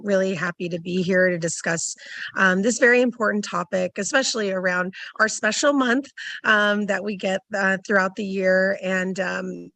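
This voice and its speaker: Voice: mid-range voice, vocal fry, bright, forceful tone, no echo. A panelist from California's Central Valley, speaking reflectively with frequent ums. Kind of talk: panel discussion.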